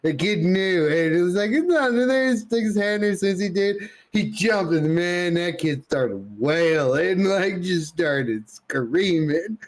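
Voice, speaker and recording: A man's voice: high voice, expressive, slow pace. A man co-hosting a podcast, telling comic stories in casual, slangy, sweary talk. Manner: casual, profane, dude-heavy slangy talk. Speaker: podcast co-host. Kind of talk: casual chat, comic storytelling.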